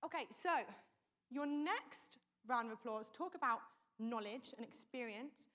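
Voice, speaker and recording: A woman's voice: fairly high pitch, lively intonation, a little slow, sharp tone. Upbeat woman hosting a property expo. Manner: upbeat, rallying the crowd. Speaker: event host and panel moderator. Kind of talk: energetic stage hosting. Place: conference hall stage.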